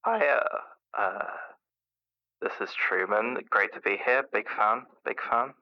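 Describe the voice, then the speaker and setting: A man's voice: fairly low pitch, slow pace, highs cut off. A man playing an anxious, self-doubting scientist phoning a radio show, nervous and rambling, heard through a caller-line filter. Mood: nervous, eager. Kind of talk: improvised call-in radio acting.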